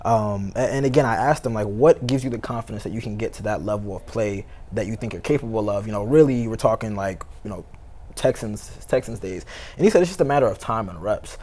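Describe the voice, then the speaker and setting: A man's voice: lowish voice, a little fast, darkish tone. An American man, a sports reporter, giving casual analysis as a talk show guest, peppering his speech with "you know" and "like". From Baltimore, United States. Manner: conversational, heavy use of "you know" and "like".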